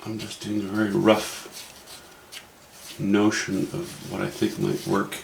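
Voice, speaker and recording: A Canadian man with a low, gravelly voice talks through his painting in a wry, thinking-aloud way. The sound is roomy and echoey, with faint background ambience. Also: completely flat delivery, unhurried, slight background noise. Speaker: painting instructor. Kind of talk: casual painting demo narration.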